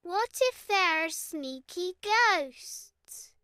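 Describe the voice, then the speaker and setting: A tiny storybook princess with a very high, bright voice. She is timid and full of wonder, asking her questions very slowly, like a small child at bedtime. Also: lively intonation, no room sound, studio quality, full character voice. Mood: worried. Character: timid little princess girl, curious and wide-eyed.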